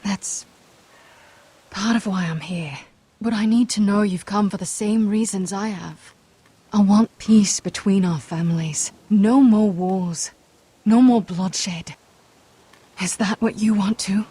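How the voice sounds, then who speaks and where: A woman voice acting a guarded, earnest young noblewoman in a fantasy game drama, speaking in grave, measured, formal phrases. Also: medium pitch, dark tone, slightly rough. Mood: earnest, pleading.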